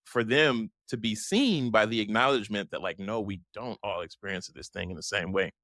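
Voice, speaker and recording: A man's voice: on the low side, brisk, bright, forceful tone, no room sound, studio quality. A man hosting an interview, speaking reflectively and at length, with frequent restarts. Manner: reflective, rambling, many restarts. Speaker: host. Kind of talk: conversational interview.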